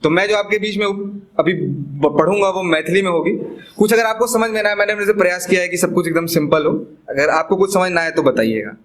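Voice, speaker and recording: A man's voice: sits high, fairly quick, a bit bright. A man from Bihar with a Bihari accent performing spoken-word poetry live, emphatic and repeating lines for effect, with chatty asides. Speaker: poet performing own poems.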